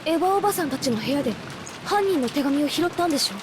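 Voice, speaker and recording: Loud rain backs a tomboyish teenage girl in a visual novel. Her high voice is blunt and rough-talking, with quick delivery. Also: lively intonation, noisy background. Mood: irritated, urgent. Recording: heavy rain ambience behind the voice.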